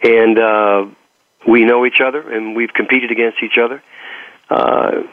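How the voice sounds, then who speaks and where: A man's voice: medium pitch, level tone, unhurried, dry recording. A man with a Texas accent speaks like a motivational coach being interviewed. He leans hard on key words and repeats phrases to drive them home. The sound is dry, narrow and muffled, like lo-fi radio or a phone call. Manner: emphatic, repeating phrases for effect. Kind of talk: motivational talk and personal storytelling in an interview.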